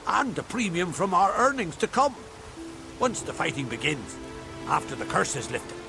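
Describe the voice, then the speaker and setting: An actor playing a blunt, coarse, excitable male villager in a video game, with a rough, emphatic way of talking. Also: high-pitched, normal speed, music in the background, heavily acted. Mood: animated. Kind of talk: video game cutscene acting.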